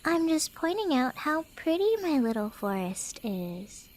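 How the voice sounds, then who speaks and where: Woman playing a high-voiced, teasing Cheshire cat girl. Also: big pitch swings, a little slow, poor audio. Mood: dreamy, sly.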